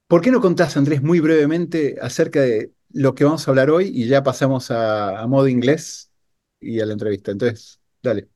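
A man's voice: mid-range voice, mellow. A man hosting a podcast, asking questions in a friendly, conversational way with many fillers. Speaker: podcast host. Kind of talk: casual conversational interview.

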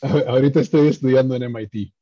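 A young Costa Rican man speaks as a podcast guest, his voice soft-edged and husky. He explains technical material in a halting way, thinking aloud. The audio is a little dull and lo-fi. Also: medium pitch. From San Carlos, Costa Rica. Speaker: podcast guest, doctoral student in engineering. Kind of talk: explanatory interview talk.

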